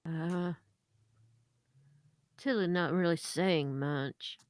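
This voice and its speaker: A woman's voice: fairly low pitch, extremely slow, darkish tone. A woman playing a tabletop role-playing game, talking casually at the table.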